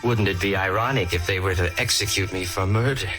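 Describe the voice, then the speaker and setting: An actor playing a smug, uneasy immortal murderer in a 1940s radio thriller, with melodramatic, brooding delivery. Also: male voice, deep voice, brisk, close to an everyday voice. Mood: gloating, sinister. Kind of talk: 1940s radio acting, first-person thriller narration. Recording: old radio play with organ music.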